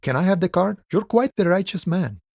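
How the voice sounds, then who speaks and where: An actor playing an easygoing, wily striking dockworker in a video game, with folksy cowboy swagger and a theatrical, friendly drawl. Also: male voice, high voice, lively intonation, a little fast, creaky, a little mellow, no room sound, clean studio sound, full character voice. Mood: amused, sly. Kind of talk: video game character dialogue.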